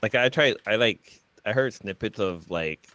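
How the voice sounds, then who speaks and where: A man's voice: on the low side, lively intonation, moderate pace, pushed and bright, dry recording. A man co-hosting a podcast, laid-back and joking in casual slang. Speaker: podcast co-host. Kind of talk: casual chat.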